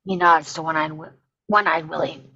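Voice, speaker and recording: A woman with a slightly husky voice reading scripted stage directions as narration at a table read, in dull sound. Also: low voice, steady tone, moderate pace, sharp tone.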